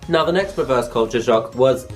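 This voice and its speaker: A young man from England chats to his viewers in an easygoing vlog, leaning on "like" as he goes. His voice sits at an ordinary middle pitch. Faint music plays behind him. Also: lively intonation, normal speed. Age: young adult. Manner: chatty, lots of "like" fillers. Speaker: vlogger. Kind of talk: casual vlog monologue.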